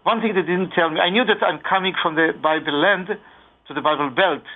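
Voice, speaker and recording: Brief: earnest Israeli-accented male radio guest, slightly high voice, phone-line sound. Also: a little fast. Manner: earnest, many fillers and restarts. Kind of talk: radio interview.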